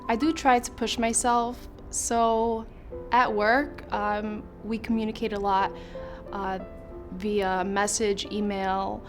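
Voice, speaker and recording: A woman's voice: medium pitch, steady tone, takes it slowly. A woman student in an interview testimonial, talking shyly and hesitantly with many fillers. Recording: music playing underneath. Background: background music.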